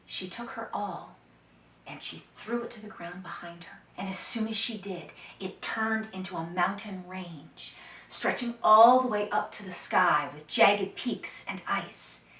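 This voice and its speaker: A woman telling a folktale to children, dramatic, expressive and deliberate, sometimes dropping to hushed phrases. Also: medium pitch. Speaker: storyteller. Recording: roomy echo, distant microphone.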